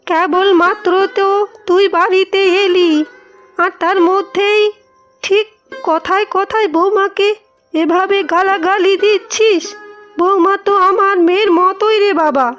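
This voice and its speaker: A woman's voice: extremely high pitch, steady tone, unhurried, a bit bright. A woman voicing a two-faced, complaining elderly mother-in-law in a cartoon, with feigned sweetness. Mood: soothing, feigned affection. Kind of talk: cartoon dialogue acting. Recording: dull audio with music behind.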